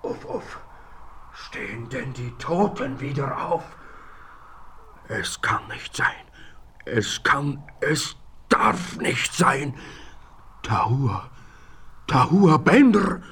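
A gravelly, frantic villain. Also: male voice, medium pitch, big pitch swings, very slow, long pauses, fairly bright tone, whispered, full character voice. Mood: shocked. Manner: panicked, frantic denials. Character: cornered villainous fake medicine man, man. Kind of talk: 1970s radio play acting.

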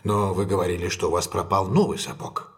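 An actor playing a shrewd, self-possessed gentleman detective in an audio drama, measured and authoritative. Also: low voice, fairly even intonation, close to an everyday voice. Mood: probing, calm.

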